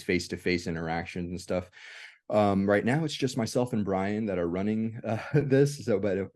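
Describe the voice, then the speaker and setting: Very deep-voiced, halting man. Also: darkish tone. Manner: halting, hesitant, circles back to rephrase. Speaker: host of a veterans' discussion group. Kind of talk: casual reflective group discussion.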